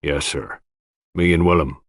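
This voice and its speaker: A man acts out an older rustic gardener in an audiobook, very low and mumbling. He speaks slowly and cautiously, with humble deference, in a dry close recording. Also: fairly even intonation, dry recording. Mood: cautious, deferential. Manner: mumbling, hesitant, slow rustic speech. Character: slow, cautious, deferential older male gardener with bent back.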